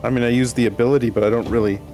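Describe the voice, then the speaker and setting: A man chatting while gaming, halting and hesitant. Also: medium pitch, fairly even intonation, warm tone, faint music behind. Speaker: co-op gamer. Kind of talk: casual gameplay chat.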